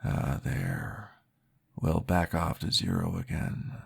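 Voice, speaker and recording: A man voicing a calm, patient male vampire therapist, soft-spoken and reassuring, often dropping into a whisper in an ASMR roleplay. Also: extremely low pitch, slow pace, exaggerated voice. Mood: soothing whisper. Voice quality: gravelly, husky. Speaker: therapist guiding a listener.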